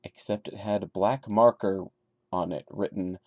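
A man's voice: low-pitched, fairly even intonation, moderate pace, darkish tone, dry recording. A man hosting a podcast, dramatically reading a horror story and breaking in with wry, joking commentary. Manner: wry, jokey, chatty asides. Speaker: podcast host.

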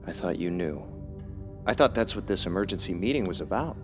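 A critical company investor in an audio drama. His voice is deep and measured, that of a businessman delivering criticism. Soft music sits underneath. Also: animated. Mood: grave, measured. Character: smooth, critical male investor on a company board. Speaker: actor. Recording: faint music behind.

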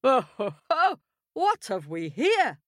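A woman's voice: high voice, wildly swooping pitch, slow, no echo, clean studio sound, voice pushed up, heavily acted. A woman voicing a mild, folksy farmer husband in a storybook tale, a little hesitant. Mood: surprised. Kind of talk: storybook character voice.

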